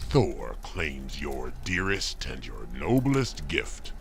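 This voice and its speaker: A menacing old pagan high priest, acted by a man in an audio drama. The voice is extremely deep and gravelly, with a solemn, ominous, incantatory delivery of archaic lines. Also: big pitch swings, slow pace, a little hiss or hum, heavily acted.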